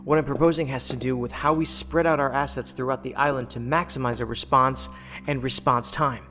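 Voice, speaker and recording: A man's voice: medium pitch, fairly even intonation, a little fast, sharp tone, lightly acted. A man plays a self-assured federal investigator in a sci-fi drama. His mid-range voice is wry and teasing. It rings in a reverberant space, with music faintly behind. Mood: focused, confident. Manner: playful, sardonic banter. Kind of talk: science fiction audio drama acting.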